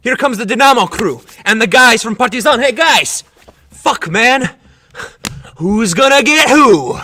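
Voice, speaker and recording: A man acting a fervent, rowdy young soccer fan at a protest, excitable, coarse and halting. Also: very high voice, exaggerated voice. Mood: rallying, fired up. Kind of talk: dramatic monologue.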